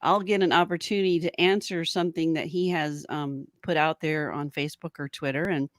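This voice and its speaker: A woman's voice: low voice, steady tone, brisk, dry recording, clean studio sound. A woman co-hosting a podcast, chatting casually and informally, rambling along with a giggly streak. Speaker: podcast host. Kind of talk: casual live-stream chat.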